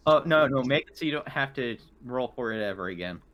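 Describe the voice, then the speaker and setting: A man with a medium-pitched voice, talking casually as a player in a tabletop game. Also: animated, fairly quick, fairly bright tone.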